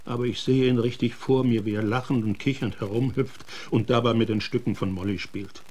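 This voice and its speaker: A blunt, grim man acted by a male performer in a radio play. His voice is husky and mid-pitched, and he talks matter-of-factly with a sardonic edge. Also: steady tone, fairly quick, darkish tone, natural voice. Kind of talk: German radio drama acting.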